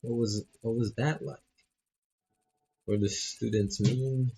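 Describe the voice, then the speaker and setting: A man voicing a chatty, friendly teenage girl roommate in visual novel dialogue, with a husky, gravelly voice and a casual, eager manner. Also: mid-range voice, steady tone, slow pace, mellow, voice pushed up, heavily acted. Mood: curious.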